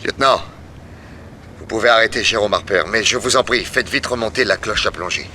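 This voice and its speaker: Shrewd detective hero, very sharp forceful timbre, low pitch, authoritative, noisy old radio recording. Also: male voice. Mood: assured, urgent. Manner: authoritative, brisk. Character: shrewd, commanding detective hero, an older uncle figure. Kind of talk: 1960s French radio serial acting.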